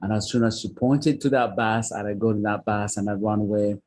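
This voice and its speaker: A man's voice: fairly low pitch, steady tone, moderate pace, darkish tone. A man from Uganda, a podcast guest telling his life story earnestly and often adding "you know". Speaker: guest, author and foster father. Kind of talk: interview storytelling.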